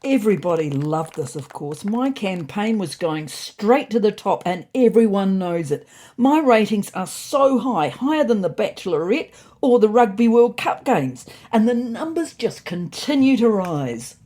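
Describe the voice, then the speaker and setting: A woman with a slightly husky voice reading a scripted comic first-person story in an expressive, indignant, in-character manner. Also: medium pitch, a little slow. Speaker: narrator of an audio story.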